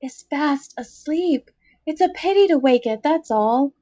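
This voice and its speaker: A woman's voice: high voice, animated, measured pace, warm tone, lo-fi sound, pitched above the performer's own voice. A woman voicing a poor, anxious young woman who pleads meekly in a dramatic story reading. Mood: weary, pleading. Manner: pleading, trembling, distressed.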